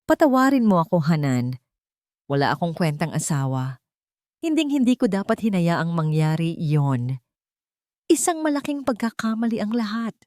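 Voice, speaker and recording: A woman's voice: on the low side, sing-song, moderate pace, a little mellow, dry recording, clean studio sound. A woman with a Filipino accent voicing a scheming husband who pleads with feigned remorse in an audiobook. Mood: pleading remorse. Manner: pleading, deferential to his elder.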